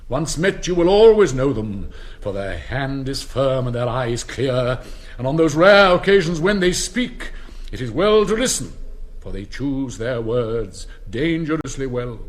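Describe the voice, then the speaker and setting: A man's voice: mid-range voice, close to an everyday voice. An older man with an upper-class English accent, acting a pompous, indignant old headmaster in a theatrical radio drama. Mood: solemn, oratorical. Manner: pompous, declamatory, exasperated.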